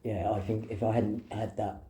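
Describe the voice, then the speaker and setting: Female patient, insistent and halting, very low voice, recorded in an echoey room with faint noise. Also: flat delivery, mellow. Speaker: patient at a doctor's appointment. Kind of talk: casual conversation, recounting symptoms.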